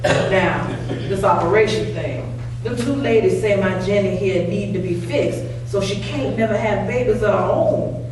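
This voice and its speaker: A woman's voice: medium pitch, measured pace, lightly acted. A woman playing a poor, proud, protective country mother with a Southern US accent and blunt folksy phrasing, in a staged play reading. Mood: defiant. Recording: distant mic, very echoey room, faint noise.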